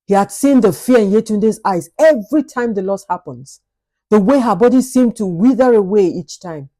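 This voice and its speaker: A woman narrating a scripted folktale in an expressive, suspenseful, dramatic storytelling style. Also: mid-range voice, normal speed.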